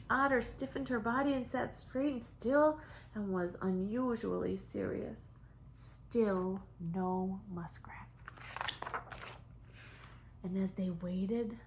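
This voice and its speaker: A woman reads a children's story as a storyteller for young kids, in a dramatic manner with drawn-out words and hushed moments. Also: fairly high pitch, a little hiss or hum. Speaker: storybook reader for preschoolers. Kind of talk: expressive children's story narration.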